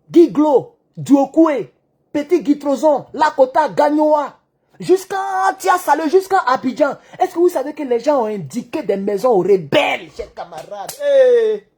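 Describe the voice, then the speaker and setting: A man giving an animated political monologue, acting out the dialogue in his stories. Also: extremely high pitch. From Côte d'Ivoire. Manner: excitable storyteller who acts out dialogue. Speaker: online political commentator.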